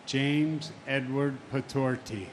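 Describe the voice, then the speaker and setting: Solemn man, unhurried reading, firm and insistent in his remarks at a memorial ceremony. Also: mid-range voice, fairly even intonation, a little hiss or hum. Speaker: victim's family member reading names.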